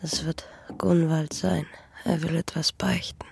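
A woman's voice: low-pitched, flat delivery, measured pace, creaky, noisy background, exaggerated voice. An actress playing a dying young peasant woman in a radio play, sorrowful and bitter, with a weak, whispery voice and solemn delivery. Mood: weak, fearful. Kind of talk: German radio play acting.

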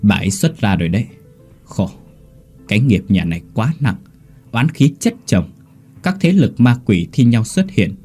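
A man's voice: lowish voice, big pitch swings, normal speed, long pauses, warm tone, breathy edge, faint music behind. A man voicing a solemn, commanding exorcist in a ghost story, making grave pronouncements. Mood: troubled, grave.

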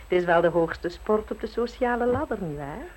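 An actress playing an ambitious, worldly widow in a radio play, coolly flirtatious, arch and knowing. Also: female voice, mid-range voice, darkish tone, slight background noise, lightly acted, rough recording.

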